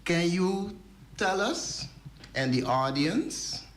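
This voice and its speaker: Slow, hesitant male radio host. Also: sits high, lively intonation, slight background noise. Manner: slow, hesitant, mixes languages. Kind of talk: radio talk show interview. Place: radio studio.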